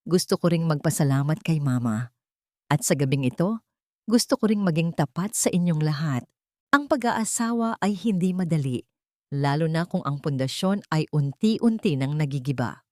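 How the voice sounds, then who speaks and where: A woman voicing a solemn, scheming husband who makes a formal, deliberate speech in an audio story. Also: low voice, lively intonation, a little mellow, dry recording, studio quality. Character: solemn scheming husband addressing party guests. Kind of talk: audio story character acting.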